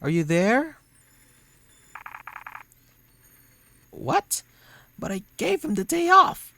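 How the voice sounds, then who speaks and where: Woman putting on a bossy, frantic woman boss, pitched up. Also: very animated, unhurried, vocal fry, pushed and bright, a little hiss or hum, heavily acted. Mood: alarmed. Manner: commanding, urgent. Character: bossy, frantic, menacing female shop boss.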